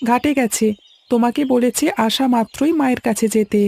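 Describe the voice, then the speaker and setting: Woman playing a soft-toned, curious little boy. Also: fairly high pitch, a little fast, vocal fry. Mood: teasing. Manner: childlike, questioning. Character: curious, naive little boy, a younger brother. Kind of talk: acted audio story dialogue.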